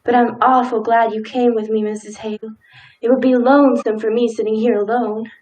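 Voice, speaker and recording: Woman acting a timid, high-voiced small-town wife. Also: level tone, brisk, a little mellow, natural voice, poor audio. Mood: gentle, reassuring. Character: timid, polite, sympathetic small-town wife Mrs. Peters. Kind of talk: live stage radio play acting.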